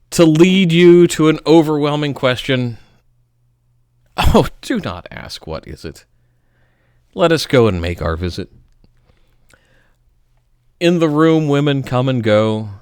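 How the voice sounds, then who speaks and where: A man reads poetry in a slow, melodramatic style. His clean, resonant voice swells on key phrases and drops to hushed endings, with dramatic pauses between them. The sound is close and dry. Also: fairly low pitch, clean tone, dry recording.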